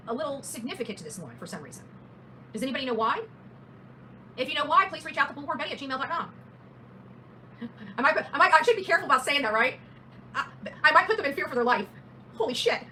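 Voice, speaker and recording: A woman's voice: high-pitched, sharp tone, slight background noise, poor audio. A woman livestreaming casual true-crime commentary in a blunt, opinionated, emphatic way, as the host addressing her viewers.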